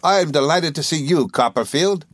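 A male narrator puts on a simple, good-natured young gentleman for a storybook. The voice is pitched high, eager and cheerful. He stumbles over little hesitations in a flustered, friendly way. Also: lively intonation, moderate pace. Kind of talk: audiobook character dialogue.

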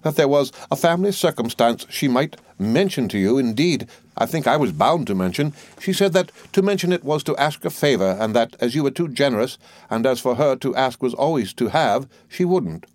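A man's voice: mid-range voice, lively intonation, a little fast, darkish tone, no room sound, pitched above the performer's own voice, lightly acted. A man reading aloud, voicing a fussy, garrulous older mother who is pleased with herself. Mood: coaxing. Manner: gushing, emphatic, self-important.

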